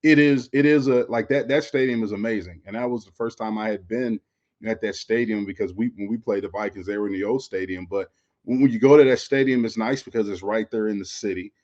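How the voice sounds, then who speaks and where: A man's voice: lowish voice, brisk, a little mellow, dull audio. An American man from Florida, a former player co-hosting a sports podcast, chats casually and circles back over his phrases with frequent filler tags. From Florida, United States. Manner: conversational, repeats phrases, often says "you know". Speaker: former pro football player, podcast co-host.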